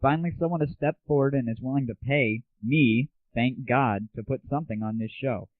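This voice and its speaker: Man, show host, sarcastic casual rant, medium pitch, dry close sound, muffled phone-like band. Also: brisk, no room sound. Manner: sarcastic, irreverent, crude. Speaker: comedy audio show host.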